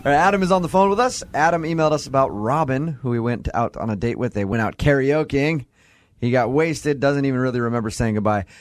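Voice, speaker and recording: A man's voice: medium pitch, quick pace. A man hosting a radio show, chatting casually with callers in a morning banter style. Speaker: radio host.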